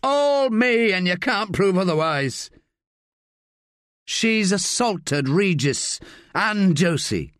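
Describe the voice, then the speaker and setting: A man's voice: high-pitched, very animated, slow, a bit bright, dry recording, clean studio sound, pitched above the performer's own voice, exaggerated voice. A man voicing a dying, resigned man. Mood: urgent, resigned.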